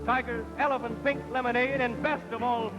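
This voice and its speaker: High-pitched 1930s radio pitchman. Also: flat delivery, brisk. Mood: rousing, declamatory. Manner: ringing, declamatory salesmanship. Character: brash male radio announcer reading sponsor commercials. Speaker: radio announcer. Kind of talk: 1930s radio commercial announcing in a film. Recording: 1930s film soundtrack with music.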